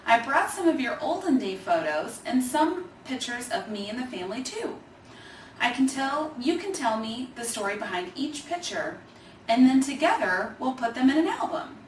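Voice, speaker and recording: An earnest, caring young girl from a children's storybook, played by a woman reader, speaks expressively in a fairly high voice. The recording is roomy, with the mic at a distance. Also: big pitch swings, normal speed, a little hiss or hum. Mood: eager.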